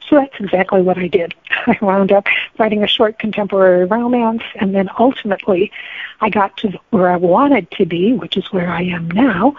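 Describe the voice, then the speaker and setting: A woman's voice: mid-range voice, breathy edge, dry recording. An older woman with an American accent, telling stories conversationally as an interview guest over a thin phone-like connection. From United States. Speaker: guest, novelist.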